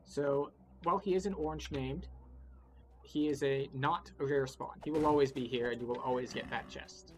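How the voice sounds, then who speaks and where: A man's voice: mid-range voice, steady tone, moderate pace, noisy background. A man giving casual, wry, explanatory commentary as he guides a video game playthrough. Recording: game music behind the voice. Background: video game music.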